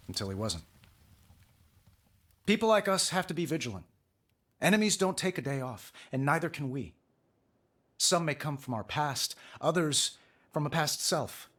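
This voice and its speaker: An actor playing a wisecracking, confident armored superhero in a video game, with a glib delivery. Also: male voice, mid-range voice, a bit bright, breathy edge.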